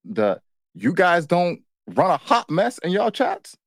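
A man co-hosting a casual relationship talk. His pitch moves around a lot as he teases and repeats his points. The sound is dry, with little room in it. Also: wildly swooping pitch, slow, no room sound. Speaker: podcast co-host.

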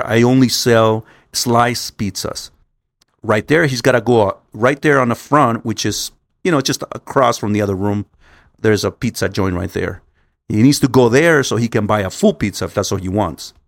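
A man's voice: mid-range voice, dry recording, clean studio sound. A man, a Spanish speaker, telling a casual story to viewers in a rambling, repetitive, stop-start way peppered with swearing. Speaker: vlogger.

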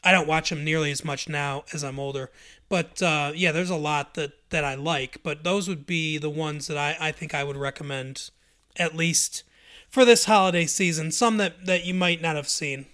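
A man co-hosting a casual movie chat, agreeable and halting, with lots of fillers. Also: on the high side, pushed and bright. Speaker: podcast co-host.

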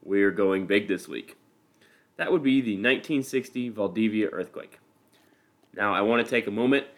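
A man's voice: lowish voice, moderate pace. A man with a Midwestern American accent hosting a podcast solo, explaining things casually in an informal, self-deprecating, dryly humorous manner. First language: English. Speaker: podcast host.

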